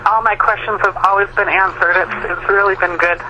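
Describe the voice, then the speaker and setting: A woman's voice: medium pitch, steady tone, a little fast, bright, forceful tone. A woman calling in on a noisy telephone line, chatting casually in an appreciative, enthusiastic way. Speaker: caller, fundraising volunteer. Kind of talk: casual phone conversation.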